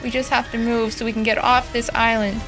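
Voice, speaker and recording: A woman's voice: on the high side, level tone, fairly quick, close to an everyday voice. A woman playing an anxious, determined young girl in an audio drama, with music behind her.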